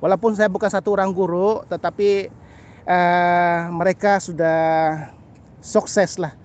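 A middle-aged man from Sabah with a Sabahan Malay accent, chatting casually to camera in a halting, repetitive way with little filler tags. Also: high voice, flat delivery. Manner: halting, repeats phrases, frequent "ah" and "lah" tags. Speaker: birding vlogger. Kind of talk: casual vlog chat to camera. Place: outdoors on a grassy path by a canal. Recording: outdoor background noise.

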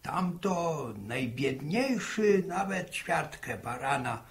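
An old country grandfather in a vintage radio play. His voice is creaky and swoops widely as he rambles through tales, stumbling over and repeating words with good humour. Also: fairly high pitch, expressive, heavily acted. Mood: wistful, coaxing. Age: older. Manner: halting, repeating words, chuckling storyteller. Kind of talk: 1960s Polish radio drama acting. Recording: 1960 archive radio drama recording.

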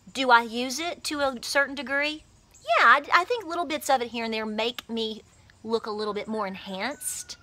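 Woman, 40s, bright and slightly high voice, animated homesteader vlog recorded on a phone outdoors on her porch. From Appalachia, USA. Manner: animated, emphatic, gestures with her hands. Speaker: homesteading vlogger. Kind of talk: passionate straight-to-camera talk. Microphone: phone camera at arm's length.

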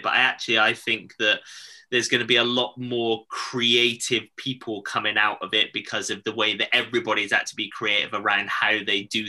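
Male host, 30s, bright forward tone, chatty and loose, video-call audio. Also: lowish voice. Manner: chatty, laughs often, rambling restarts. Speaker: podcast host. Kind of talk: casual video-call chat. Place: home room, indoors.

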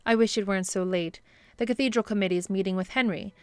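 An actress playing a kindly, long-suffering bishop's wife in earnest, gentle period drama style. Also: female voice, medium pitch, fast talker, clean tone, dry recording, soft background music, close to an everyday voice. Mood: polite, concerned. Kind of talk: period radio-drama acting.

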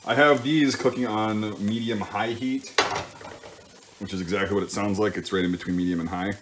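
Male home cook, lowish voice, informal running commentary over audible sizzle and kitchen noise. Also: fairly even intonation, moderate pace. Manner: informal, thinking aloud, self-correcting. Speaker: home cook hosting a cooking show. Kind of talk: casual cooking commentary while working. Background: sizzling pan and stirring sounds.

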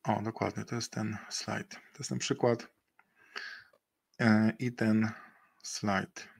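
A man's voice: fairly low pitch, flat delivery, slow pace, gravelly, husky. A middle-aged man presenting an investment webinar over a video call, talking with many fillers and restarts.

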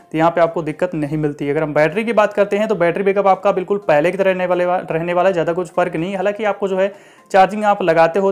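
A man's voice: sits high, darkish tone. A man giving a casual walkthrough voice-over as a tech reviewer, mixing in English terms and repeating filler phrases. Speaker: tech reviewer, channel host.

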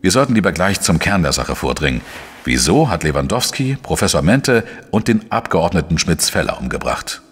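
Male for crime radio play: shrewd, matter-of-fact reporter-sleuth, very low husky voice, reverberant sound. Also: sing-song, full character voice. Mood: measured reasoning. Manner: measured, explanatory, matter-of-fact. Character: shrewd, dry male investigative journalist, narrating his case. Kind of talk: German crime radio play, narration and dialogue.

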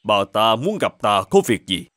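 A man's voice: on the low side, big pitch swings, normal speed, fairly bright tone, no room sound, clean studio sound. Pompous, commanding old cartoon king. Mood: haughty. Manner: imperious, commanding. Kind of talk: dubbed children's cartoon acting.

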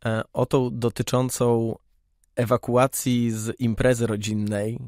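A man's voice: medium pitch, flat delivery, unhurried, darkish tone, no room sound, clean studio sound. A man hosting a podcast, interviewing a guest in a casual conversational style. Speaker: podcast host.

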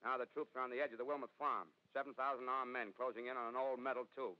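A man's voice: mid-range voice, steady tone, fast, natural voice. Brief: male actor as 1930s radio-drama army captain, self-assured field report, muffled vintage broadcast sound. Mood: alarmed.

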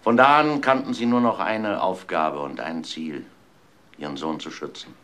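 An actor playing an insistent, accusing police inspector in a German crime audio play, questioning with deliberate emphasis. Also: male voice, low voice, a bit bright. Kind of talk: German radio crime drama acting.

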